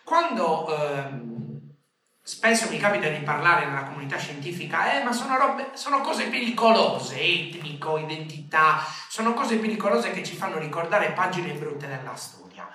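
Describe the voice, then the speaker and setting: A man's voice: on the high side, animated, moderate pace, bright, forceful tone. A man speaking as a researcher in an academic discussion, careful with his words and correcting himself as he goes. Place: reverberant conference room. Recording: very echoey room, distant from microphone.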